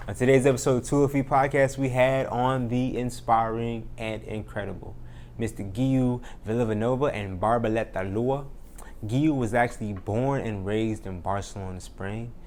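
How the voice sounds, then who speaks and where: A man's voice: lowish voice, a little mellow. A man introducing a podcast episode in an enthusiastic, informal, casual way. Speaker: podcast host. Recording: clear background ambient noise.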